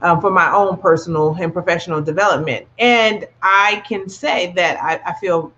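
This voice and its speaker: A woman guest in a casual discussion, giving her opinions in a measured, conversational way. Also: fairly low pitch, a bit bright. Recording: dull, lo-fi call audio.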